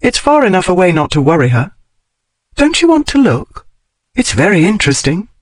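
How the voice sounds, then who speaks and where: Male narrator doing a higher-voiced bookish woman, curious, teasing, precise, audiobook dialogue, close dry sound. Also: big pitch swings, normal speed, no echo. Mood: keen, chatty. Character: bold, curious scholarly woman, keen and teasing.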